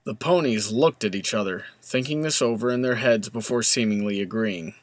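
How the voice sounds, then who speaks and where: A man's voice: lowish voice, moderate pace, dry recording. A man reading scripted story narration as the narrator of a comic tale.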